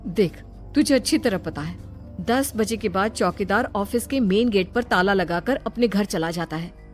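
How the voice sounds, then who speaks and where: A woman's voice: medium pitch, normal speed, faint music behind, pitched above the performer's own voice, close to an everyday voice. A woman voicing a bossy, irritable young office worker in a cartoon horror story, scolding and dismissive. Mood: exasperated. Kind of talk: animated Hindi horror story dubbing.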